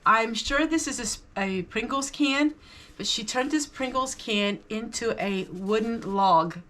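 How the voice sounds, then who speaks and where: High-voiced woman chatting enthusiastically. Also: a little slow, sharp tone. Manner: enthusiastic, appreciative. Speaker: vlog host. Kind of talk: casual haul chat to viewers.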